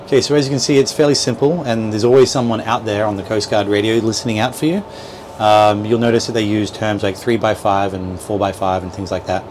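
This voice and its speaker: A man's voice: lowish voice, fairly quick. A man in his thirties giving an informal, instructional walkthrough, explaining things with hesitant, repeated words. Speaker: boat dealer presenter. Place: small boat cabin at a marina. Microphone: clip-on lapel mic. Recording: noisy boat cabin with steady background hum.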